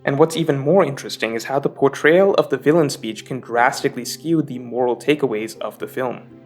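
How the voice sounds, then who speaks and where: A man's voice: medium pitch, brisk, soft background music. A man delivering scripted narration for a film analysis video essay as its host, explaining in an articulate way.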